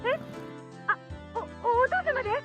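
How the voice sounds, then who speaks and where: A woman's voice: very high voice, racing. A woman voicing a cheeky, playful teenage anime girl in a Japanese visual novel. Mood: flustered. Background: game music.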